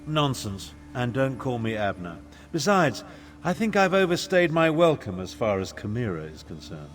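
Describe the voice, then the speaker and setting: A man's voice: mid-range voice, big pitch swings, moderate pace, close to an everyday voice. Guy doing a grumpy old wizard from a video game, pompous and dry, bit of music behind. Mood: grumpy, dismissive. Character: crotchety, haughty aging human battle mage. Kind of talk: video game character dialogue.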